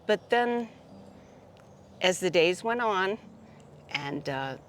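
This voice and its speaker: A woman from Ohio with an American accent, giving halting, reflective answers in a casual interview. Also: fairly low pitch, animated, a bit bright, slight background noise. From Ohio, United States. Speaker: interviewee, solo pilgrim.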